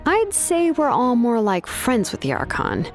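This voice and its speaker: Casting: frank, laid-back young fantasy game heroine, conversational, lively pitch movement, music underneath. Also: female voice, a little slow, full character voice. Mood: easygoing, frank. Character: easygoing, frank young woman of a fantasy tribe. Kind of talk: video game voice acting.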